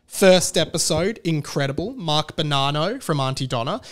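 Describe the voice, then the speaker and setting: A man's voice: a little high, expressive, normal speed, fairly bright tone. A man with an Australian accent hosting a podcast solo, talking casually in an enthusiastic, rambling way. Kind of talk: casual chatty monologue.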